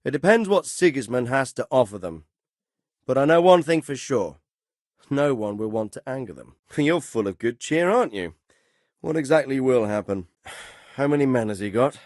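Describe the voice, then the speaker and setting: An actor playing a middle-aged medieval nobleman commander in a video game, grave, fatherly and authoritative, speaking in a measured, formal way. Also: medium pitch, animated, a little mellow, no room sound, clean studio sound, natural voice. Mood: measured, commanding. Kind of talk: dramatic video game dialogue acting.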